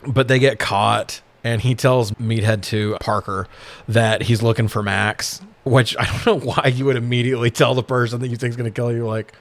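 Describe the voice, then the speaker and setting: A man's voice: mid-range voice, fairly even intonation, normal speed, dry recording. A man co-hosting a podcast, casually recapping a movie in a profane, sarcastic, exasperated way. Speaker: podcast co-host.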